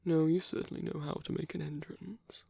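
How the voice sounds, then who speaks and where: A man playing a suave, sly aristocratic villain in an ASMR roleplay, speaking in a soft, breathy, often near-whispered voice with languid, teasing politeness and veiled menace. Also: fairly high pitch, little pitch movement, fairly quick, no echo. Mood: feigned polite boredom. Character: suave sly aristocratic villain, flirting and secretly scheming at a masquerade. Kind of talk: ASMR roleplay, intimate scripted acting.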